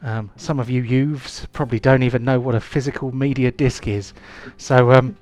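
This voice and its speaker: A man's voice: mid-range voice, steady tone, moderate pace, a little mellow, soft background music. A man, hosting and running a live-play tabletop game in a chatty, rambling way full of ums and restarts. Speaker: game master.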